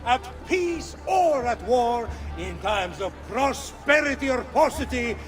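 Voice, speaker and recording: An actor playing a grand, welcoming Venetian ruler with an Italian accent, making an oration in a game cutscene. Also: male voice, very high-pitched, animated, slow, exaggerated voice. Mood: jubilant welcome. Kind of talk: oratorical game cutscene acting. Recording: loud music behind. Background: music.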